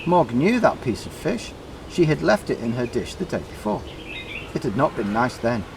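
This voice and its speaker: A man reading a children's story aloud in short, deliberately emphasised phrases. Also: mid-range voice, very animated, creaky, noisy background. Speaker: storybook reader.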